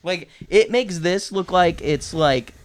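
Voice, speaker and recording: A man's voice: high voice, slow pace. Blunt, swearing man chatting casually. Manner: blunt, profane, joking. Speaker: podcast co-host reviewing a TV series. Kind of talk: casual opinionated chat.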